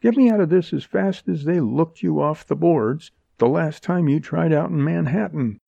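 Man putting on a sassy, high-pitched young dame. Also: moderate pace, gravelly, mellow, no room sound, dull audio. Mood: sneering, angry. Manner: sneering, sarcastic, wisecracking. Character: brassy, defiant, slangy young woman in danger. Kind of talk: dramatized audiobook dialogue.

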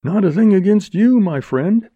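A man voicing an earnest, astonished gentleman captive in a storybook, exclaiming and pleading. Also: mid-range voice, very animated, slow pace, slightly rough, dry recording, phone-quality sound, pitched above the performer's own voice, exaggerated voice.

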